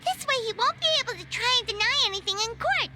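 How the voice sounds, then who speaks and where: An actress voicing a tiny, excitable female floating companion who talks about herself in the third person. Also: very high voice, fast. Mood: indignant. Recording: game audio with music behind.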